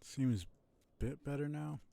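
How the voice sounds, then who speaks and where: Young male streamer, mellow and creaky, mumbled offhand play-by-play with the odd curse. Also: a little slow, dry recording. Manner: mutters to himself, low-key, swears when frustrated. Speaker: streamer playing a video game. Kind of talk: casual gameplay commentary.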